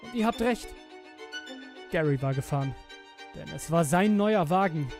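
A man's voice: fairly high pitch, expressive. Actor as haunted young man confessing, emotionally swinging voice. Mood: bitter regret. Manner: halting, emotional, confessional delivery. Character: guilt-ridden, haunted twenty-year-old man confessing. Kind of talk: dramatic first-person horror audio drama monologue. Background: music with violin.

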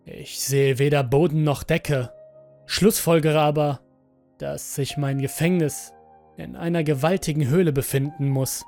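Slow-speaking man narrating horror over music. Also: a little high, fairly even intonation, a little mellow. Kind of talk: scripted first-person horror audio story narration. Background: background music.

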